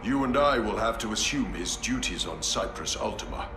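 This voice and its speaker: Man voicing a stern marine commander, lively pitch, echoey with music behind. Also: lightly acted. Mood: measured. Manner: measured, commanding. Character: stern male space marine commander, distrustful.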